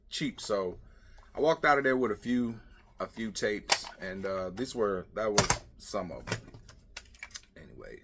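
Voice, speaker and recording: Low-voiced man rambling casually, noisy recording. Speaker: vlog host. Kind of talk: casual rambling vlog chat. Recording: clear background noise with clicking, clattering.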